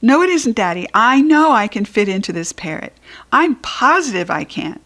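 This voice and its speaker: An excitable little girl from a children's storybook, acted out by a woman. Her voice sits high, and she is gushy, stubborn and dramatic. She begs and insists in emphatic, repetitive childlike phrases. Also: moderate pace, full character voice. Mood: insistent, proud.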